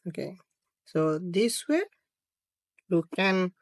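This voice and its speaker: A tutorial presenter of unclear sex narrating a phone how-to step by step in halting phrases. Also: medium pitch, expressive, no echo, studio quality. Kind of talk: screen-recorded how-to narration.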